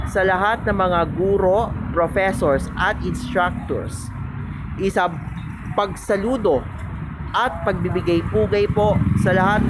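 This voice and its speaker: A man's voice: high voice, background noise. A man with a Filipino accent giving an informal, polite and respectful spoken greeting message. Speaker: video greeter giving a public address.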